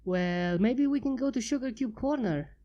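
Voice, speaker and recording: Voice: medium pitch, expressive, moderate pace, lo-fi sound, voice pushed up, natural voice. A fanfiction reader voicing an earnest young female unicorn scholar.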